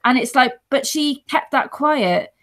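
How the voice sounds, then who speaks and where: A woman's voice: sits high, expressive, a little slow, a bit bright. A woman, chatting earnestly on a video call from home, rambling and restarting as she tells stories. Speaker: guest on support show. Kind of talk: casual video-call chat. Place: dim room at home. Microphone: earphone headset on video call.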